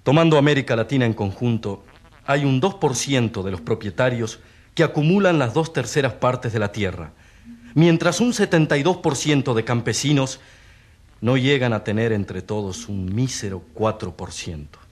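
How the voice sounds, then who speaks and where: A man playing an earnest country priest on trial in a radio drama, husky, testifying in a didactic way with rhetorical questions. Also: mid-range voice, expressive, normal speed, natural voice. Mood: earnest, indignant.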